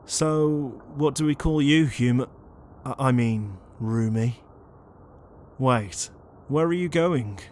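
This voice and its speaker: Ghost gang leader, male actor, audio roleplay: earnest, deliberate, mid-range voice. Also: mid-range voice, a little mellow, lightly acted. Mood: flustered. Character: earnest, bossy ghost ringleader, easily flustered.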